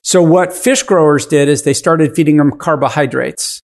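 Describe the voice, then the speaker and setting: A man's voice: fairly high pitch, moderate pace. A man in his fifties, a podcast guest explaining his business in casual interview talk. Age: 50s.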